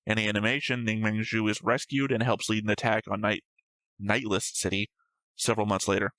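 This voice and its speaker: A man's voice: fairly low pitch, little pitch movement, brisk, fairly bright tone, no room sound. A man hosting a reaction show, reading out notes and discussing them casually in a matter-of-fact, slightly pedantic way.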